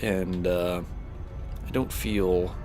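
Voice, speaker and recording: A fairly low-voiced man chats loosely over a game session, his speech full of "like" and "um" as he wanders between thoughts. His voice sounds a little stuffed up, and soft music plays underneath. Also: fairly even intonation, extremely slow. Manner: rambling, heavy on fillers and restarts. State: slightly stuffed-up voice while recovering from illness. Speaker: gaming channel host. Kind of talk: casual chat while playing a space game.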